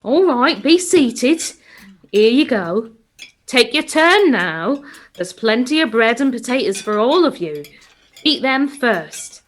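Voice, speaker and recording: An actress plays a protective working-class mother in a radio drama. She is brisk and dry with a sharp tongue, her voice sitting a little high. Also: very animated, exaggerated voice. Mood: caring, busy. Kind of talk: radio play acting.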